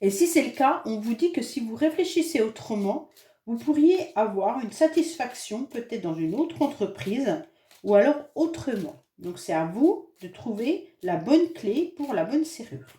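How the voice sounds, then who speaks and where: A woman's voice: a little high, big pitch swings, a little slow, dark tone, roomy sound. Woman with a cold reading tarot cards. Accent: French. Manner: chatty, reassuring, many fillers and hesitations. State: has a head cold. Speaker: tarot card reader. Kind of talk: monthly astrology card reading.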